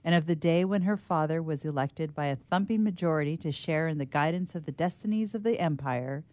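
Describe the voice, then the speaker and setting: A woman narrates a literary story aloud. Her voice is notably low for a woman, with a warm, velvety darkness. She delivers it in a measured, theatrical way. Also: dry recording.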